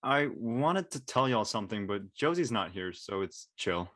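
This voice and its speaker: Man as a young student, inquisitive, casual, lively pitch, dry sound. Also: normal speed, dry recording. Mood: casual, teasing. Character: laid-back, inquisitive, teasing young student. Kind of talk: casual live script reading.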